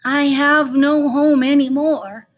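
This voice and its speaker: A woman's voice: sits high, lively intonation, slow, vocal fry, fairly bright tone, pitched above the performer's own voice, heavily acted. A woman voicing a small young hedgehog in a children's storybook, kind, earnest and a little anxious, with a childlike manner. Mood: sad.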